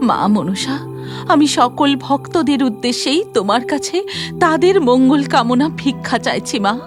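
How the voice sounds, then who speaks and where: A woman's voice: high voice, animated, measured pace, music in the background. A woman playing a devout village mother in a cartoon moral story, speaking in an emotional, imploring way. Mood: reverent pleading. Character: devout, pleading village mother praying to her goddess.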